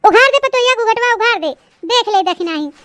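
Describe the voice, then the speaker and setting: A woman's voice: very high voice, lively intonation, a little fast, fairly bright tone, no room sound. A woman voicing a bossy, boastful older village mother-in-law with an eastern Uttar Pradesh accent in a comic cartoon. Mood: proud, coaxing. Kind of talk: comic cartoon story dialogue in rustic dialect.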